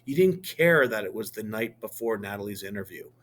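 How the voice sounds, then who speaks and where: A man voicing a calm, stern mother. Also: lowish voice. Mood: reproachful. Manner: quiet, measured, reproachful. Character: calm, quietly stern middle-aged mother.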